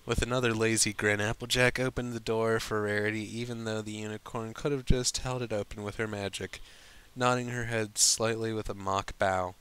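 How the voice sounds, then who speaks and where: A man reading fan fiction aloud as a storybook narrator, with some halting stumbles over the lines. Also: lowish voice, little pitch movement, fairly bright tone, clear, resonant tone.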